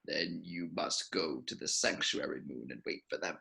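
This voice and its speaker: A man playing a sinister, commanding old emperor in an amateur table read, slow and menacing, with a gravelly voice. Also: a bass voice, pushed and bright, full character voice. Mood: cold, scheming. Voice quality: gravelly, creaky. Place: remote video call from home.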